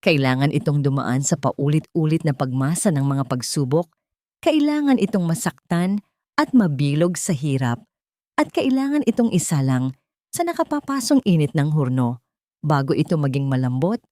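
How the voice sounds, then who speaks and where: A woman with a Filipino accent narrating a scripted dramatic story in a solemn, deliberate, literary way. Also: sits low, very animated, mellow, no echo, studio quality.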